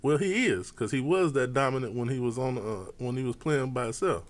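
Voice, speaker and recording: A man's voice: medium pitch, animated, fairly quick. A man hosting a casual sports talk show, brash and boastful, swearing freely as he talks.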